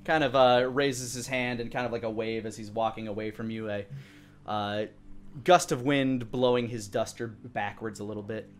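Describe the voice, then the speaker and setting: A man in his thirties improvising tabletop game narration as game master, in a halting, searching way. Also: medium pitch, fairly even intonation. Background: faint music.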